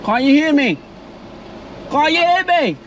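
A man's voice: very high-pitched, lively intonation, a little slow, lo-fi sound. A young British man chatting casually and slangily from inside a car, as a prankster vlogger. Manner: slangy, informal. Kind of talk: casual chat and drive-through prank. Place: inside a car at drive-throughs. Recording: noisy car interior, engine and outdoor hum.

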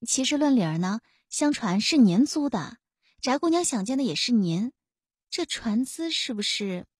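A woman's voice: fairly high pitch, animated, moderate pace, slightly rough, no room sound, clean studio sound. A woman voicing an eager, cheeky young female constable who wheedles and pleads in an ingratiating way. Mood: wheedling protest.

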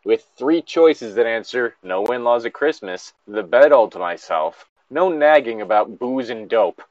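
Incredulous man with animated delivery. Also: medium pitch, unhurried, dry recording, muffled recording. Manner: incredulous, exasperated, swearing. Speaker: co-host reading a story aloud with commentary. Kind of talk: casual reading with incredulous commentary.

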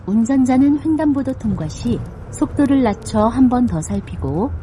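Mellow, formal woman making bus announcements. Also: mid-range voice, slight background noise, low-quality recording. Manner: polite, formal. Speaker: bus passenger-notice announcer. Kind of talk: scripted public announcement.

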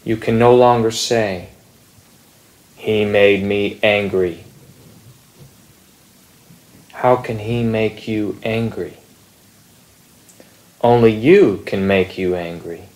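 A man reading a contemplative spiritual text in a measured, deliberate manner with long pauses. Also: on the low side, steady tone, darkish tone, poor audio. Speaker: reader at a Zen meditation group.

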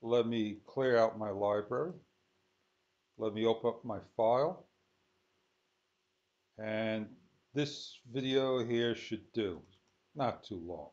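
A man giving an unscripted software tutorial, thinking aloud in short, halting phrases as he works. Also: on the low side. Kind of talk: unscripted screen-recorded tutorial. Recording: dull lo-fi sound.

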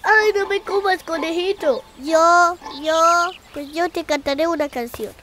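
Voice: very high voice, measured pace, bright, forceful tone, a little hiss or hum, heavily acted. An actor voicing a small, timid, childlike bread bun in a children's cartoon dub, hesitant and pleading.

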